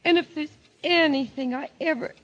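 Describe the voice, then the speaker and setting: A woman's voice: high voice, moderate pace, close to an everyday voice. An actress playing a sweet, trusting wife in a golden age radio mystery, earnest and exclamatory in her delivery. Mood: overwhelmed, grateful. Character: sweet, gushing, trusting wife, a former nurse. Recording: muffled vintage radio recording.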